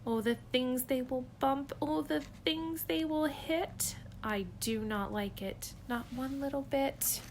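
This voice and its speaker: A woman's voice: high-pitched, slow pace, few pauses, slight background noise, voice pushed up. Woman doing a fussy, scolding fish. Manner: scolding, anxious and insistent. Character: fussy, fretful pet fish in a pot.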